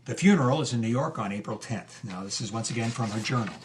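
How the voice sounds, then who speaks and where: Guy narrating history like a host, mid-pitched voice, explains stuff, emphatic on key bits. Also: expressive, quick pace, slight background noise. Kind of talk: conversational historical storytelling.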